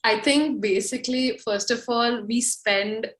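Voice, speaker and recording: A young woman, a musician guest answering interview questions in a relaxed, easygoing way. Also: on the high side, a little slow. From India. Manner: relaxed, laughs often. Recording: roomy echo.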